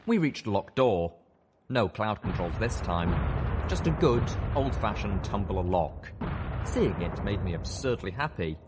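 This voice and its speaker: A man's voice: lowish voice, expressive, measured pace, very noisy. A man giving dramatic scripted narration of a story as an audiobook narrator.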